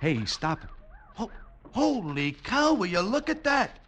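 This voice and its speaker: An American man playing an excitable, suspicious gunner sergeant, folksy and slangy, in 1940s radio acting. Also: sits high, slow, heavily acted. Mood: puzzled, suspicious. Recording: faintly noisy vintage radio recording.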